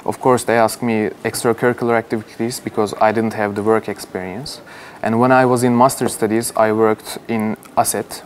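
Young Turkish-accented man, measured interview talk. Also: on the low side, slight background noise. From Turkey. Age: young adult. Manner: measured, gestures while explaining, frequent "uh". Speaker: university alumnus. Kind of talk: interview answers. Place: open-plan office. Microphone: lapel.

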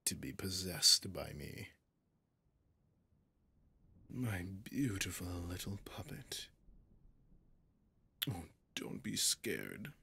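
A deep, breathy male demon, acted out by a man in an ASMR horror roleplay. He drawls with teasing condescension, often sinking into a whisper. He is seductive, possessive and menacing. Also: sharp tone, exaggerated voice. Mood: possessive, soothing.

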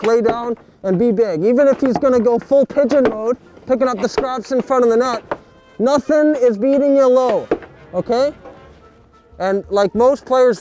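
A young man outdoors giving a jokey sports tutorial, coaching in a mock-serious, deadpan way full of hockey slang. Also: very high-pitched, a little hiss or hum. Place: backyard, outdoors. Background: music.

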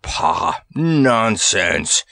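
A man's voice: low voice, sing-song, extremely slow, vocal fry, pushed and bright, no room sound, studio quality, heavily acted. A man voicing a big, proud, fatherly elephant in a storybook, grunting, huffy and stalwart. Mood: dismissive.

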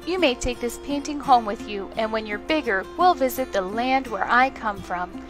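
A woman voicing a kindly old grandfather in a children's storybook, gently explaining things. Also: fairly high pitch, moderate pace, soft background music, close to an everyday voice. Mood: tender. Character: kindly old African grandfather telling stories.

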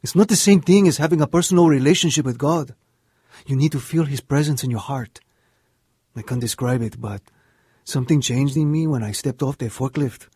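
Man acting earnest young brother, raspy-edged, dry sound, radio drama. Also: mid-range voice, brisk, a little mellow, no echo, lightly acted.

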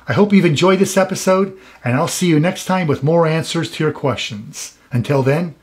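A man's voice: fairly high pitch, moderate pace. Earnest man giving cautionary expert advice. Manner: earnest, cautionary, emphatic warnings. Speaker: salon industry expert hosting a show. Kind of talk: scripted advice talk to camera.

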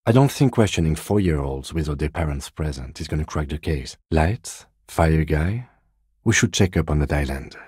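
An actor playing a composed middle-aged police detective in a video game, speaking in a measured, formal, dryly polite way. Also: male voice, a bass voice, a little mellow, breathy edge, dry recording, studio quality, exaggerated voice. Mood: businesslike, dry. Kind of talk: dramatic game dialogue, separate voice lines.

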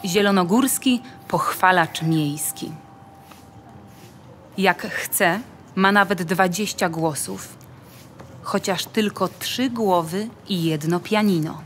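Slow-paced woman narrator, low-pitched. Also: a little hiss or hum. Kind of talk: scripted storybook-like narration for an audio play.

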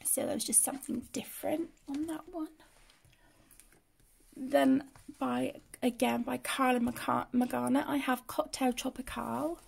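A woman with the flu, croaky and often half-whispering, casually showing her finished colouring pages as the channel host. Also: high voice, steady tone, unhurried, darkish tone. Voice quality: hoarse, often drops to a whisper. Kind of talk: casual flip-through commentary. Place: indoors at a table.

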